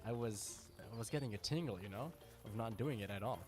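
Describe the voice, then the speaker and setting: A man's voice: lowish voice, fairly quick. A tired man streaming a hunting game live, chatting casually and talking to his viewers by name. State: tired from little sleep. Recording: clear music bed behind voice. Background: music playing.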